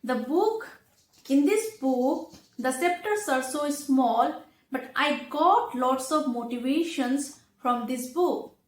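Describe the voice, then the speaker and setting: A high-voiced woman, not a native English speaker, presents a lesson for learners. She speaks slowly and deliberately, breaking her advice into short phrases. The room around her adds a clear echo. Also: animated. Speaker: English teacher, video presenter. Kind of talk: instructional talk to learners. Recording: echoey room.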